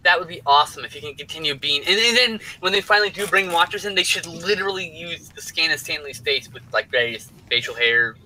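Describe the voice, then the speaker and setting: A man's voice: on the high side, expressive, quick pace, few pauses, bright, forceful tone, slight background noise, rough recording. A man co-hosting a casual geek chat stream, riffing loosely and swearing casually.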